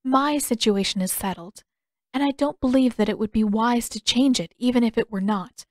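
A woman voicing a polite, dutiful young noblewoman with formal, measured phrasing in an audiobook story. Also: a little high, no room sound, clean studio sound, close to an everyday voice. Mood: earnest, gentle.

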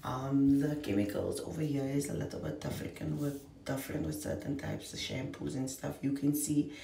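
A woman in her thirties talks slowly in a low, gravelly, mellow voice, rambling with fillers and restarts. She sits back from the camera in a small, echoey apartment room, and faint hiss runs under her voice. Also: very animated, far from the mic. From South Africa. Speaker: vlogger. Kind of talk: casual vlog talk to camera. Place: small basement apartment, indoors. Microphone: camera on a table at close range.

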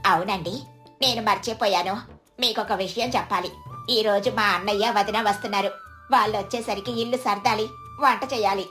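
A woman's voice: medium pitch, steady tone, fairly quick, a bit bright, soft background music, lightly acted. A woman playing a lazy, wily village wife who fusses and chides in a moral story cartoon. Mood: fussing. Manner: chiding, fussing.

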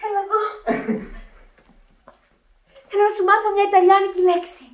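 A woman's voice: very high voice, little pitch movement, a little mellow. An actress playing an eager, lovestruck teenage girl in a Greek radio drama, girlish and impulsive. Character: eager, lovestruck teenage Italian-immigrant girl, pleading daughter. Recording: roomy, distant old radio recording.

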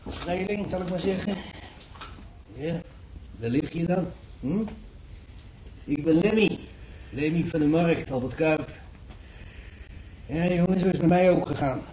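An actor playing a chatty, down-to-earth market fruit seller laid up in hospital, in a radio play. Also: male voice, fairly high pitch, unhurried, long pauses, mellow, highs cut off, slight background noise, natural voice. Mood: chatty, folksy. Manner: folksy, chatty. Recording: echoey radio play, music behind.

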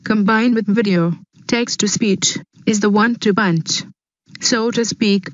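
A synthetic woman's voice narrates a script at a middling pitch. The text-to-speech audio is dry and slightly dull. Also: a little slow, no echo. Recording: dull lo-fi sound.

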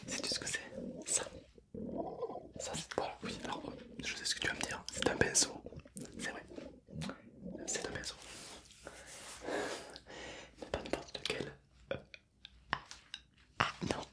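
A man's voice: measured pace, pauses often, close to an everyday voice. A man in his twenties voicing a chatty, playfully gruesome zombie who craves brains, in a whispered ASMR roleplay, rambling as he savors macabre details. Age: 20s.

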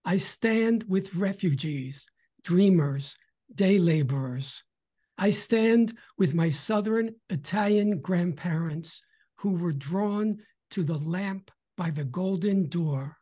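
An older man in his sixties reads poetry with emphasis at a slow pace. His voice is soft-edged and husky, heard over a video call from home. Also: high voice. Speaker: poet. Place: small home bedroom. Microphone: computer mic on a video call.

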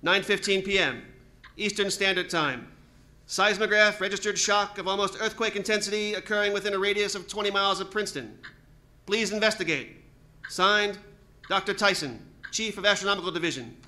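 An eager old-time radio commentator played by a man speaks in a high, very bright and forceful voice. He is formal and emphatic, in the style of a 1930s radio drama. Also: brisk. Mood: urgent, formal. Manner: formal, emphatic announcer delivery. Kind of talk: 1930s radio drama acting, live performance. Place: staged performance in a planetarium dome.

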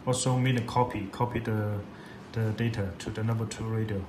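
A man giving a step-by-step software tutorial in halting, repetitive English. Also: lowish voice, steady tone. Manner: halting, repetitive non-native English phrasing. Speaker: product demonstrator. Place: indoors at a laptop on a desk. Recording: echoey room with clear background noise.

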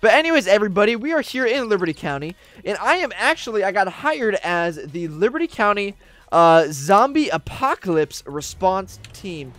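A man doing upbeat roleplay commentary over a game, shouting commands when things get tense. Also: sits high, big pitch swings, moderate pace, no room sound. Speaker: gaming YouTuber. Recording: faint background music.

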